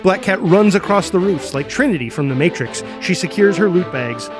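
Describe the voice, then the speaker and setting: A man's voice: high voice, lively intonation, moderate pace, over music. A man reading scripted action narration as the narrator.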